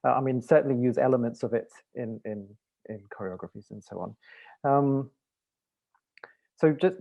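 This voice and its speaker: A man who grew up in Malaysia, a dancer and choreographer speaking as a panel guest, thoughtful and halting with many restarts. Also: mid-range voice, gravelly, dark tone, lo-fi sound. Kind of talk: panel discussion.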